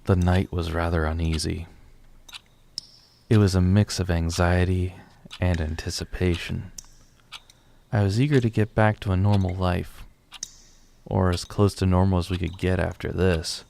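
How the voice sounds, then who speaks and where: A man playing a weary, determined father who leads a rebellion, narrating his story gravely and earnestly in an audio drama. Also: deep voice, fairly even intonation, unhurried, long pauses, warm tone, slight background noise. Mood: reflective, grave. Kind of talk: scripted first-person narration and audio drama dialogue.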